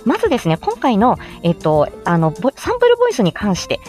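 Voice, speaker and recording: Animated woman explaining things over music. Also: medium pitch, fast talker. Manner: chatty, explanatory, greets listeners by name. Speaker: presenter teaching how to make voice samples; speech therapist and amateur voice actor. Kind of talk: informal instructional audio livestream.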